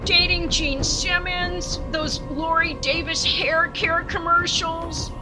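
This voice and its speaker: A woman's voice: very high voice, steady tone, slow pace, poor audio. A woman playing a brazen, self-absorbed diva pop goddess in a campy comic radio play, theatrical and blasé. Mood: exasperated, confiding. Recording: music under the voice.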